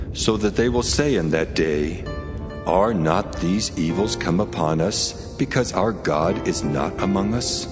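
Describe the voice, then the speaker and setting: A man playing God as a grave, stern deity pronouncing judgment in a dramatized scripture reading, with deliberate authority. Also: low-pitched. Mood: stern, wrathful. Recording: music under the voice.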